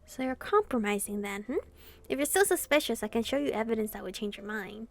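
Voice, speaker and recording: Flirtatious demon king, read by a woman: light, conversational, highish with vocal fry, visual novel dialogue. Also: fast talker. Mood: teasing. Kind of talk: reading translated visual novel dialogue aloud.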